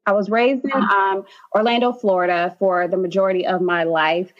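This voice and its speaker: A woman's voice: mid-range voice, steady tone, moderate pace. Chatty woman from Haiti, lo-fi sound. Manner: chatty, many fillers like "um" and "like". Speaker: guest, nurse practitioner. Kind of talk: casual interview.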